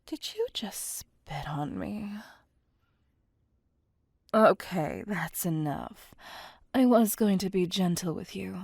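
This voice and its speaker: Slow, husky, whispering vampire seductress played by a woman. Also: on the low side, darkish tone, no echo, clean studio sound, exaggerated voice. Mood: menacing, commanding. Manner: slow, teasing, theatrical purring menace. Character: seductive, possessive female vampire, menacing yet doting lover. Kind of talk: ASMR roleplay, intimate whispered acting.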